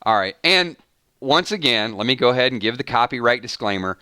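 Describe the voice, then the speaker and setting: A man hosting a casual fan live stream, his voice bright and forward with a smooth, clean tone. He keeps cutting himself off to react to the chat, tossing out "hold on" and "wait a minute" as he goes. The sound is close and dry, with no room around it. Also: mid-range voice, a little fast, no echo. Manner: animated, breaks off mid-sentence with repeated "hold on" and "wait a minute". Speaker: fan channel host.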